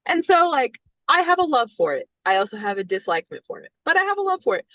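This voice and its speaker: A woman from Texas, a band member, chatting casually in an interview with an animated, laughing manner. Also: sits high, fast, dry recording. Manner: animated, laughs between phrases, chatty fillers. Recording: slightly dull lo-fi sound.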